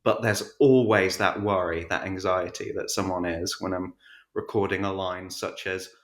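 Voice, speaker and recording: A man with a fairly low voice speaks casually as a podcast guest who narrates audiobooks. He is jokey and self-deprecating, feeling his way through sentences. The sound is roomy and echoey, like a home recording. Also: steady tone, moderate pace. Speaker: audiobook narrator being interviewed. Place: untreated room at home.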